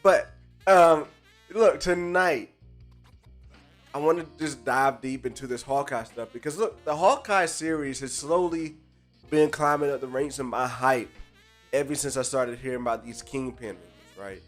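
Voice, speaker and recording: A stuffy, congested man hosts a live stream, chatting in hyped-up slang and calling out viewers by name. His voice sits at an ordinary middle pitch. Soft jazz plays faintly under him. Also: a little slow. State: stuffy nose, under the weather. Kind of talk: casual live-stream chat. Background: faint live jazz music.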